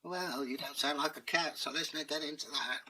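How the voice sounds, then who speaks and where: A man's voice: steady tone, moderate pace, pushed and bright, slight background noise. A man voicing a weary, harried young village headman, complaining in a raised, exasperated voice. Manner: exasperated, complaining, higher-pitched.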